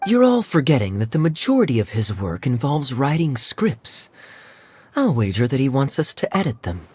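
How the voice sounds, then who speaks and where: Wry, knowing young man for a game, suave, breathy-edged, highly animated pitch. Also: moderate pace. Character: suave, wry young adult male adventurer. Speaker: voice actor.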